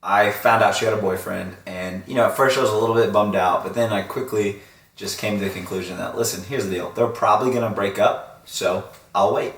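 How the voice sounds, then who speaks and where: A man's voice: fairly low pitch, fairly even intonation, a little fast, fairly bright tone, echoey room, far from the mic, slight background noise. A young man telling a shared story with dry, teasing jokes. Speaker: guest, husband. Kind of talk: casual storytelling chat.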